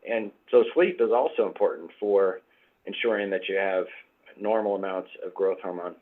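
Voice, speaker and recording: Guest doctor, a man with a husky, cold-roughened voice, patient and explanatory, over a tinny line. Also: deep voice. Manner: measured, explanatory, frequent fillers. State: hoarse from a cold. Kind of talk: expert explanation in interview.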